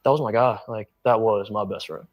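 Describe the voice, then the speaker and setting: A young adult man giving an emotional interview account in an earnest, halting way. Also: lowish voice, no echo, highs cut off.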